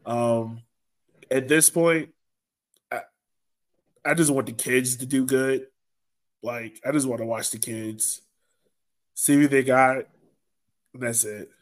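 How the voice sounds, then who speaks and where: A man's voice: mid-range voice, steady tone, slow pace, pauses often. A man hosting a sports podcast, chatting in an informal, colloquial way. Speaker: podcast host. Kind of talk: casual sports podcast chat.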